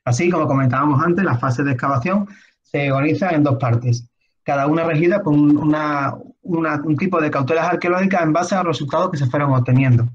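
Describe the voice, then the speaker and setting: A man in his thirties with an Andalusian Spanish accent, slightly hoarse, presenting archaeology over slides in a halting way full of restarts. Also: fairly high pitch. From Spain. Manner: halting, many restarts and fillers. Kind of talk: conference talk over slides. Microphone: webcam on a video call. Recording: dull lo-fi video call audio.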